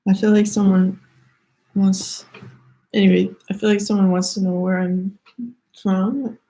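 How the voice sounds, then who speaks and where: A woman with a Canadian accent giving a halting, thoughtful spiritual card reading, full of fillers and restarts. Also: mid-range voice, level tone, poor audio. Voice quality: soft-spoken, hesitant, many fillers and restarts. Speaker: tarot reader.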